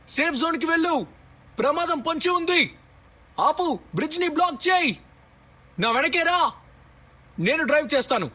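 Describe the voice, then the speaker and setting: A man voicing an urgent, excitable squadmate in a battle royale video game, giving clipped commands. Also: very high voice, full character voice. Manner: clipped, imperative callouts. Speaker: game character voice.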